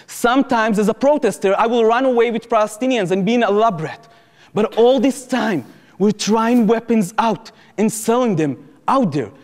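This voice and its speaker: Echoey hall, high-pitched male voice, Israeli accent, emphatic public speaker, dramatic pauses, storytelling lecture. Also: a little mellow. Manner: emphatic, repeats phrases for effect, dramatic pauses. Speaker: activist lecturer and former soldier. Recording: echoey hall with long reverb.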